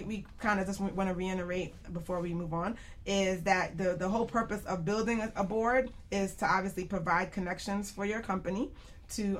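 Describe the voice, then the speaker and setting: A woman hosting a casual radio panel discussion in a chatty, informal manner. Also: mid-range voice. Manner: chatty, informal, often laughing. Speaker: radio show host. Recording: clear background noise, room ambience.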